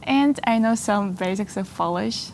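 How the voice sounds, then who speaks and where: A young woman in her twenties from Kazakhstan whose first language is Kazakh, answering interview questions in a measured, slightly formal way in a studio. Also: medium pitch, vocal fry, darkish tone, slight background noise. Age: 20s. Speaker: interview guest, master's student. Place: small studio. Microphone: lapel.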